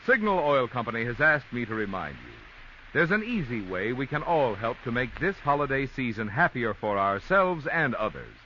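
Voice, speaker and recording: A man's voice: medium pitch, sing-song, moderate pace, bright, forceful tone, a little hiss or hum. A man with an American accent announcing in a polished, formal, emphatic 1940s radio style from a script. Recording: muffled old radio recording with music. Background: music.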